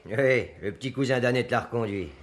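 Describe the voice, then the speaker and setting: A man's voice: mid-range voice, a little fast, close to an everyday voice. An actor playing a wry, cheeky young working-class butcher's boy, teasing and slangy. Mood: wry, chatty.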